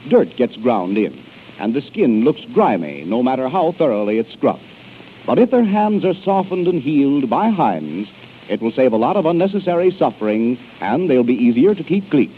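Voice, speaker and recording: Male 1930s radio pitchman, fairly high voice, polished declamatory sales read, thin vintage broadcast sound. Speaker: radio announcer. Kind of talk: 1930s radio advertising and introduction. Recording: narrow-band hissy 1930s radio broadcast.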